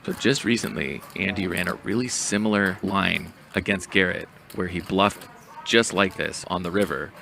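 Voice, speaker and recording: A man narrating a scripted poker hand breakdown as a commentator, in a measured, explanatory way. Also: low voice, vocal fry, bright, forceful tone, a little hiss or hum.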